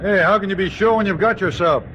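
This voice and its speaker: An actor playing an eager, inquisitive observer aboard a warship in an old-time radio drama. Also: male voice, sits high, quick pace, highs cut off. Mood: eager curiosity. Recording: loud engine and sea noise.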